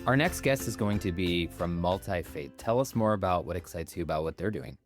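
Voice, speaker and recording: A man hosting a podcast, brisk in prompting guests with questions. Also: low voice, lively intonation, music in the background. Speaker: podcast host. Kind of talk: interview questions.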